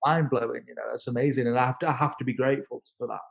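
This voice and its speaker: Halting man in his thirties. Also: mid-range voice, fairly even intonation, creaky, muffled recording. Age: 30s. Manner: halting, repeats words and restarts often. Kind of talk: casual interview conversation.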